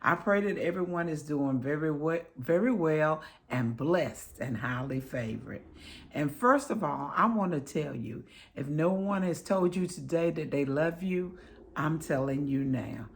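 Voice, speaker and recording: A woman chats slowly through a product review at home, her voice hoarse and crackling from a recent illness. She speaks in a low register, warm-hearted and devout in her greetings. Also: lively intonation. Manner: affectionate, chatty, pious greetings.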